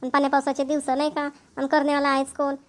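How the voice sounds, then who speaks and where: Woman acting a high-pitched, rapid-talking village woman, Vidarbha Marathi. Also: completely flat delivery, lightly acted. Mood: chatty, practical. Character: chatty, practical village women neighbours planning an engagement. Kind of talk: amateur cartoon dialogue.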